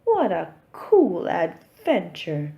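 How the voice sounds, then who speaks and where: A woman voicing a curious, excitable cat from a children's storybook, wide-eyed and exclamatory. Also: lowish voice, very slow, creaky, exaggerated voice. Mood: delighted surprise.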